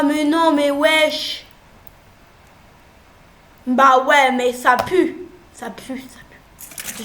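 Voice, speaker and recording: A young woman with a cold, chatting playfully through gameplay commentary, jumping from loud exclamations to quiet mumbles. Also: sits high, roomy sound, slight background noise. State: has a cold. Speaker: gaming youtuber.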